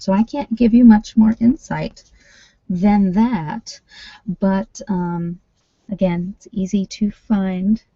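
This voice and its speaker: A woman's voice: on the low side, dark tone. A woman chatting casually and informally to a live online audience, rambling a little as she thinks aloud. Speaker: ASMR creator hosting a live workshop session with tarot readings. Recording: muffled, roomy sound.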